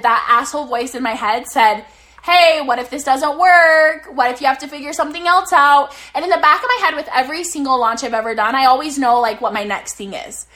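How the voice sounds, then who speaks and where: A young woman sits on her bed and talks straight to her live-stream viewers, coaching them on business with an upbeat, energetic drive and a casual curse or two. Her voice sits high and bright. It is a little strained from days of talking too much. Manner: energetic, swearing, motivational. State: slightly losing her voice. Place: bedroom, sitting on her bed.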